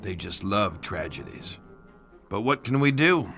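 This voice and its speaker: An actor playing a world-weary, suspicious police detective in a video game, speaking in a measured, grave and deliberate way. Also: male voice, lowish voice, big pitch swings, creaky, fairly bright tone, soft background music, full character voice. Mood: wry, pressured. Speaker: detective character and narrator. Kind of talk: dramatic noir-style narration and dialogue.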